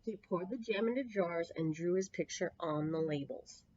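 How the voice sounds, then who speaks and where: A woman storyteller reading a picture book to young children, with expressive emphasis and drawn-out words. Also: on the low side, slight background noise. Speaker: children's storyteller at a public library. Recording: dull lo-fi, close dry sound.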